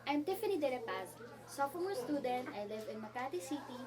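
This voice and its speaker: A woman's voice: high-pitched, lively intonation, brisk. A woman playing a privileged, irritated young college student in an amateur radio drama, hesitant yet boastful. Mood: defensive, irritated. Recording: distant mic, crowd behind.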